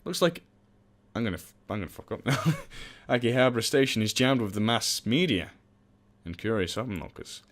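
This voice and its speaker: A man's voice: medium pitch, animated, normal speed, a bit bright. A young man casually reading a visual novel aloud for a let's play. He jokes, swears and mocks himself as he stumbles over words.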